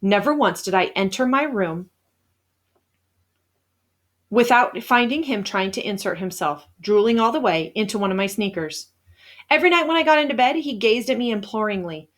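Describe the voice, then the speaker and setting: Woman, mid-range pitch, children's book narration, expressive emphasis, storytelling delivery. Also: normal speed. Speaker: storybook reader. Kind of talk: read-aloud of a children's novel, scripted narration.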